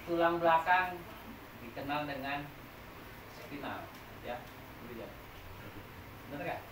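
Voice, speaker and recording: A man's voice: a little high, a little slow. A man, teaching a massage therapy training class and quizzing the students as he explains step by step. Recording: echoey room, noisy, mic far off.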